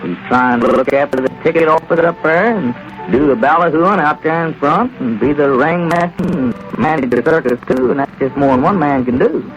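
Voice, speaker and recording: An actor playing a plain-spoken country friend with chuckling asides in a 1930s radio comedy. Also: male voice, on the high side, moderate pace, soft background music, lightly acted. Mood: friendly. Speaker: townsman Dick. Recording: lo-fi old radio broadcast.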